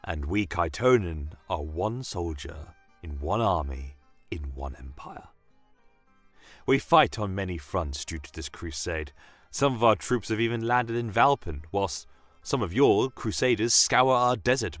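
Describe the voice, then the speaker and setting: A man playing a solemn, defiant horned army commander, telling a dramatic fantasy story in a grave, measured manner. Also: on the low side, fairly bright tone, dry recording. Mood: solemn, earnest. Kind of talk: dramatic first-person fantasy audio story.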